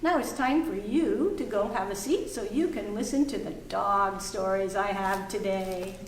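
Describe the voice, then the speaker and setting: A woman hosting a library storytime for children, singing little songs and reading a picture book aloud in a slow, expressive way. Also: mid-range voice, recorded from a distance, a little hiss or hum. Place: library room. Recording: echoey room, voice from afar.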